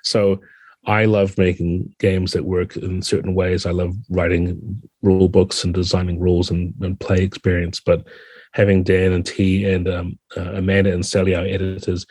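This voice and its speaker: A man with a deep voice talks through his ideas in a conversational interview. His delivery is level, with little melodic movement, and the recording is close and dry. He explains thoughtfully, qualifying points as he goes. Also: completely flat delivery, moderate pace, darkish tone, breathy edge, no room sound. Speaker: game designer, podcast guest. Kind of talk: conversational interview answers, explaining game rules.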